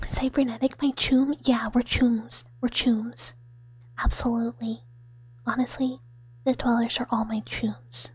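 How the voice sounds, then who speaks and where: A woman with a breathy, whispery, soft voice chatting playfully to viewers on an ASMR livestream, rambling and making mock threats. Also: fairly high pitch, flat delivery, a little slow, dry recording. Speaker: VTuber streamer playing a mouse character.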